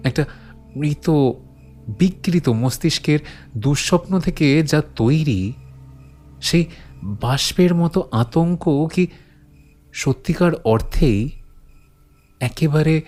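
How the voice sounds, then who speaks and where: A man narrating a horror audio story as a brooding storyteller, deliberate and suspenseful with dramatic pauses. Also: on the high side, a little mellow, soft background music. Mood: intense, questioning. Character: brooding male storyteller recounting eerie legends to his friend.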